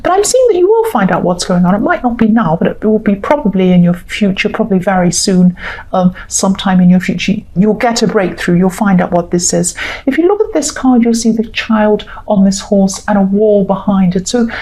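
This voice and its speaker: A woman with a croaky voice giving a tarot reading to viewers, talking in a reassuring, repetitive way and thinking aloud with fillers. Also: mid-range voice, animated, fairly quick, warm tone, rough recording. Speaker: tarot reader.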